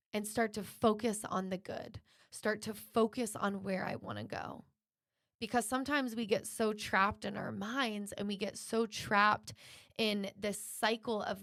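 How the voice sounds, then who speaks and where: A woman delivers a motivational podcast monologue in a medium-pitched voice with noticeable creak. She is encouraging and drives her points home by restating them. The sound is dry, with very little room in it. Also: no room sound. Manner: encouraging, emphatic repetition. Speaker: podcast host.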